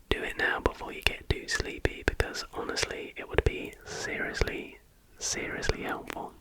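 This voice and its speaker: An ASMR host speaking entirely in a breathy whisper, chatty and informal. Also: poor audio. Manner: chatty, informal, joking asides.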